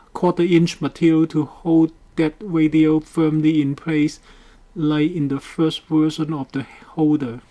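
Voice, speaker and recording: Male how-to narrator, mellow tone, unhurried and careful delivery. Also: fairly high pitch. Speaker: DIY boat project presenter.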